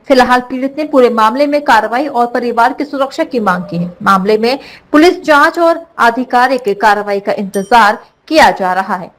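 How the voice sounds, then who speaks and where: A woman reading a scripted news report in a formal, even voice-over. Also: high-pitched. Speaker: news reader.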